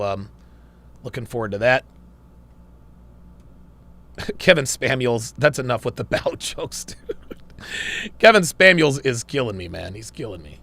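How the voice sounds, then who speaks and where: Animated man hosting a livestream. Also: mid-range voice, creaky. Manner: laughs often, animated and wry while reading comments. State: losing his voice. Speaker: livestream host reading super chats. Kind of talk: casual livestream chat.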